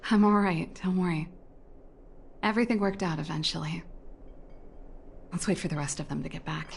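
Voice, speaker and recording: A woman's voice: lowish voice, steady tone, moderate pace, vocal fry, a little hiss or hum. A woman voicing a world-weary but easygoing adult woman fighter in a video game's story dialogue. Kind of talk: voiced game story dialogue.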